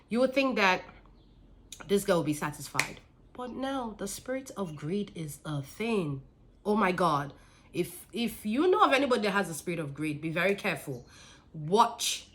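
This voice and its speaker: A woman with a Nigerian accent telling a personal story in a casual vlog, emphatic and repeating phrases for stress. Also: on the low side. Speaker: vlogger, business owner.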